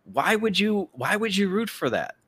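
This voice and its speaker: A man's voice: high-pitched, sing-song, moderate pace, bright, forceful tone, slightly rough. A man giving casual, profane political commentary as a podcast host, ranting and mockingly acting out what others say.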